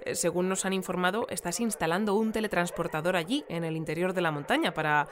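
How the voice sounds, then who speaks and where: A woman's voice: on the low side, fast, a little hiss or hum, close to an everyday voice. A woman with a Spain accent playing a polite, chatty local news presenter in a radio drama, conducting live interviews. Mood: polite, inquisitive.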